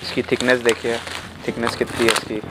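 A man's voice: medium pitch, flat delivery, brisk, background noise. A man in his thirties, a wholesale supplier, walking through his warehouse and listing products in a matter-of-fact way. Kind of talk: product walkthrough. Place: indoor warehouse.

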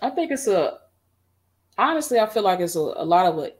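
A middle-aged woman on a video call, recounting her band history in a relaxed, rambling way with many fillers. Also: mid-range voice, brisk. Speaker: guest band musician. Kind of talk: casual interview. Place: home room.

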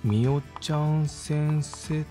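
A man's voice: a little high, steady tone, soft background music. A young man playing a laid-back, sincere university student in a voice drama monologue, musing as he writes. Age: young adult. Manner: unhurried, musing, mellow. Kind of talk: voice drama monologue, thinking aloud while writing.

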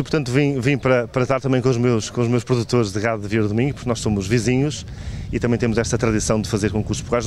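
A man with a northern Portuguese accent giving an interview statement as a local official, restarting phrases. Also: a little mellow. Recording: clear rumbling background noise.

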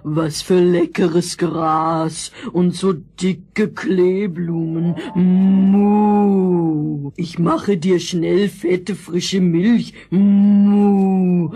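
A man's voice: high-pitched, steady tone, warm tone, full character voice. A man voicing a placid, friendly cow in a children's audio fairy tale, droning and mooing. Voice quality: husky, drawn-out moos. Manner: slow, droning, deliberate.

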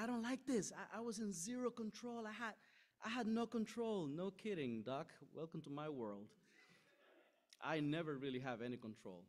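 Young male preacher, conversational and animated, acts out quotes; church hall, podium mic. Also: mid-range voice. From Costa Rica. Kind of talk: sermon. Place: large church hall with stage.

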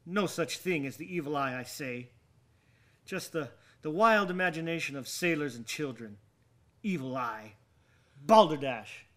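A haunted, anxious gentleman in a gothic radio play. His voice sits high, with a bright, tense edge. He speaks theatrically in old-fashioned phrases, volatile and short of rest. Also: lively intonation, unhurried. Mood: brooding. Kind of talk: radio drama acting with dramatic monologue.

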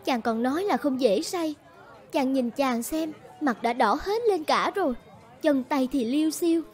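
A very high-voiced gentle bride. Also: female voice, lively intonation, measured pace. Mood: concerned. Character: gentle, anxious, devoted village bride. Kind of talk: animated folk-tale dialogue.

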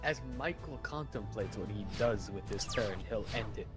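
A man's voice: on the low side, lively intonation, normal speed. A man doing hammy mock-announcer play-by-play of a card game, mixed with casual banter. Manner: hammy, joking. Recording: music behind the voice.

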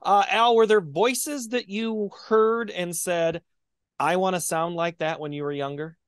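A man's voice: high-pitched, fairly even intonation, unhurried, clean tone, dry recording, studio quality. A man interviewing a guest over a video call, asking friendly, playful questions.

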